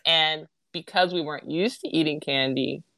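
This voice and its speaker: A young woman from Southern California, a guest on a podcast, talking in a giggly, animated way. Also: low voice, normal speed, creaky, dry recording. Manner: giggly and animated, with many fillers. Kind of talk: casual chat.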